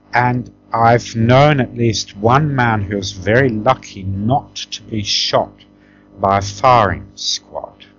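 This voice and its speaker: A man's voice: medium pitch, highs cut off. A man from Tanzania, middle-aged, talking conversationally as an interview guest, emphatic and often checking for agreement as he reminisces.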